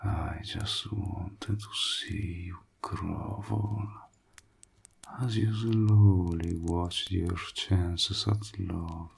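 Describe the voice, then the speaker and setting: An evil warlock played by a man speaks in a low, gravelly voice thick with breath. He draws his words out very slowly and theatrically, mocking and taunting his client, often sinking toward a whisper, in the manner of a scripted audio roleplay. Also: full character voice. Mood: sinister taunting.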